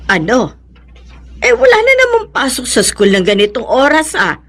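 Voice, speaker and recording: A woman's voice: high voice, huge pitch swings, normal speed, a bit bright, husky, exaggerated voice. A woman playing a concerned, pious elderly mother-in-law in a radio drama, with a Filipino accent. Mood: tired, puzzled.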